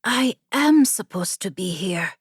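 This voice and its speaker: A woman voicing an eager, awestruck young trainee in a fantasy audiobook, with breathy, earnest wonder. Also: medium pitch, expressive, slow pace, no echo, clean studio sound. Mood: defiant.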